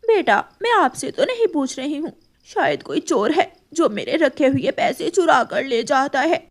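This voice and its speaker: A woman voicing a worried, gentle mother crow in a cartoon story, talking anxiously and pleadingly. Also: high voice, sing-song, a little slow, voice pushed up, full character voice. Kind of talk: Hindi cartoon story voice acting.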